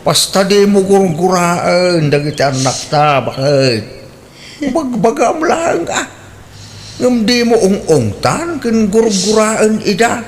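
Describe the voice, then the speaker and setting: A blustering Ilocano father in a radio play, high-pitched and swinging wildly up and down. He fires off teasing questions, with a light hiss under the recording. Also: big pitch swings, takes it slowly, heavily acted. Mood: grumbling. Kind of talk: radio drama acting.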